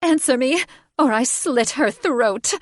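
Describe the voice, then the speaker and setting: A woman voicing a furious young woman turning into a bird-creature, with a shrill, frantic, accusing way of talking. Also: normal speed, no room sound, studio quality, close to an everyday voice. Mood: desperate.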